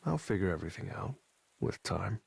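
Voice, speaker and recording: An actor playing a cool, confident young hunter hero in a video game, speaking in an understated, self-assured way. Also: male voice, low voice, very animated, fairly quick, creaky, darkish tone, breathy edge, no echo, full character voice. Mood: brooding, determined. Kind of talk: dramatic video game voice acting.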